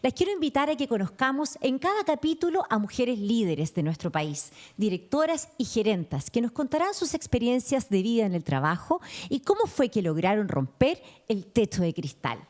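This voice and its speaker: A woman hosting an interview podcast, greeting and questioning her guest in an effusive, expressive way. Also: a little high, moderate pace, hardly any pauses. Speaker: podcast host. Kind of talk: conversational interview.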